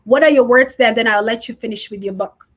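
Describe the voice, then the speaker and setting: A woman interview host with a fairly high voice. The recording is a little dull and lo-fi, as on an online stream. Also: brisk. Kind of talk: live interview hosting.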